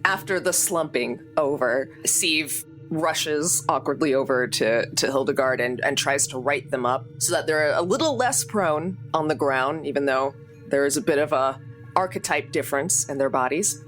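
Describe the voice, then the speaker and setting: Very low-voiced woman narrating roleplay. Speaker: player in a tabletop roleplaying game. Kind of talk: narrating character actions casually.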